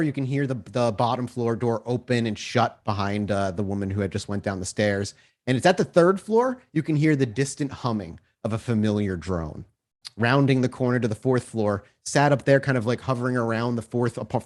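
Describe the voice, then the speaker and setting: A man's voice: mid-range voice, moderate pace. A man working as game master, improvising descriptive scene narration in a conversational way for a tabletop game.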